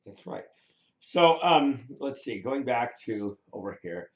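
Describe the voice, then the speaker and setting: A hoarse man talking through news clips with a co-host, animated and given to swearing and laughing. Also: medium pitch, slow. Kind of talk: casual chat about news clips. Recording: roomy echo.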